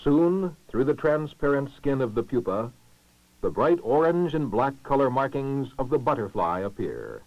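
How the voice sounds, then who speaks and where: A man's voice: mid-range voice, big pitch swings, dry recording. Man narrating a vintage lo-fi educational film. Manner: formal, measured, clearly enunciated delivery. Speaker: documentary narrator. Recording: muffled lo-fi 1960s film soundtrack.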